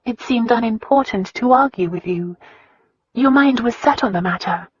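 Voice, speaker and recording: A narrator voicing a vulnerable young woman in love in a romance audiobook, with a soft, breathy, pleading delivery. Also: mid-range voice, moderate pace, no room sound, highs cut off, voice pushed up, close to an everyday voice. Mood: teasing. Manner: pleading, intimate. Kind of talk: romance audiobook character dialogue.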